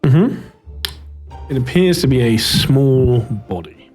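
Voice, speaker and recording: A man running a tabletop roleplaying game as game master, explaining and narrating in hesitant, halting phrases. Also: mid-range voice, expressive, darkish tone, slightly rough, faint music behind.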